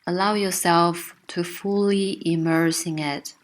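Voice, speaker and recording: A woman narrating a guided meditation with gentle, soothing, unhurried instruction. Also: on the low side, steady tone.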